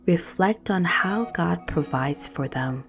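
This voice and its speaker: Casting: woman meditation guide, low-pitched, gentle and hushed, reading scripted prayer over music. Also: lively intonation. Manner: gentle, soothing, hushed, measured phrasing.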